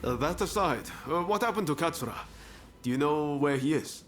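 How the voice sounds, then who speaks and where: A man playing a loyal, practical and warm young samurai with a plain-spoken, amiable manner in a video game cutscene. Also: on the high side, animated, moderate pace, lightly acted. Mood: friendly.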